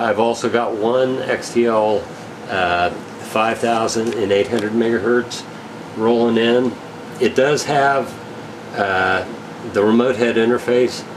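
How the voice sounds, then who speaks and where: A man giving a casual, unscripted product rundown as a gear reseller, halting and technical in a matter-of-fact way. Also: on the low side, noisy background. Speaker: radio equipment reseller presenting gear.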